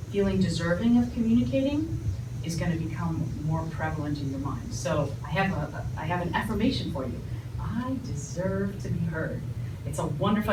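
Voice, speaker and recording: A woman from the former Soviet Union talks to a group as a public speaking trainer, bringing her stories to life by acting out the other voices. She is picked up from a distance, so the room rings around her. Steady background noise sits under her words. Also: lowish voice. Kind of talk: storytelling talk to a group. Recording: echoey room heard from afar, noisy.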